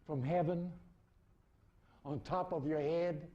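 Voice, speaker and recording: A man preaching an esoteric spiritual sermon, deliberately repeating phrases for emphasis. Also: a little high, steady tone, dark tone, dull audio.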